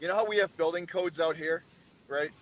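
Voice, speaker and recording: A man's voice: a little high, a little fast, a crowd in the background. A man from Boston with a Boston accent, chatting casually on a group stream, rambling and repeating himself. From Boston, Massachusetts.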